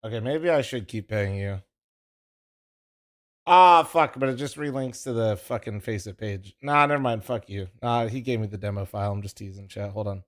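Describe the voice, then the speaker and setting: A man's voice: lowish voice, normal speed. Profane, teasing male streamer. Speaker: streamer co-streaming an esports match. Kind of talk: casual livestream chat.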